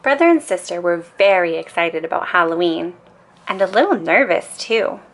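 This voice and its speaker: A woman reading a children's story aloud in an expressive bedtime storytelling style. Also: on the low side, moderate pace, a little hiss or hum, low-quality recording.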